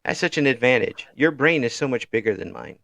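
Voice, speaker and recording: A man's voice: mid-range voice, animated, creaky, fairly bright tone. A man with an American accent interviewing in a casual chat, joking and feeling his way through questions. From United States. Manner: jokey, halting questions.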